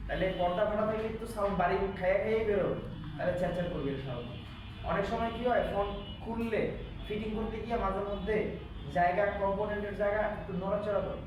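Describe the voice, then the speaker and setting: Fast-talking Bangladeshi male instructor, echoey room. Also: sits high. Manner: explanatory, often asking check questions. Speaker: electronics repair instructor. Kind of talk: interactive classroom teaching. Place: classroom, indoors. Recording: echoey room, distant mic, background noise.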